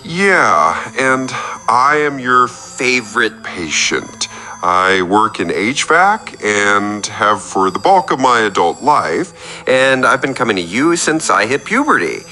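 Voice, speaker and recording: A man's voice: mid-range voice, very animated, takes it slowly, bright, forceful tone, soft background music, heavily acted. A man playing an eager, fawning tradesman who calls a radio doctor, earnest, rambling and oversharing in a comic radio play. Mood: eager, flattering.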